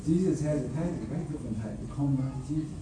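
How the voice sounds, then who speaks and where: A man preaching a sermon and telling a personal mission story, speaking deliberately with pauses and filler words. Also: medium pitch, far from the mic, background noise. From raised by a North Korean father. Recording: echoey hall, speaker away from the mic.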